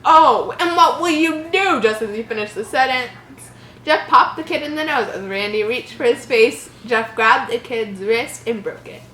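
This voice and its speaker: Casting: bright, lively woman in her early twenties, comedic story host with casual mocking asides, roomy recording. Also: a little high, moderate pace. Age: early 20s. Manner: giggly, mocking asides, animated. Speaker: channel host. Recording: roomy echo, faint background noise.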